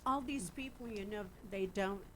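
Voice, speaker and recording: A woman's voice: medium pitch, normal speed, fairly bright tone, slight background noise. A woman, a guest, telling a story in a casual way and often laughing.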